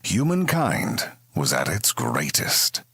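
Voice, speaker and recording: A man's voice: fairly low pitch, huge pitch swings, takes it slowly, gravelly, noisy background, full character voice. A man playing a grand narrator and announcer in a comedy space audio drama, with a theatrical, scripted delivery. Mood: grave, epic. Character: grand sci-fi narrator and slick future advertising announcer.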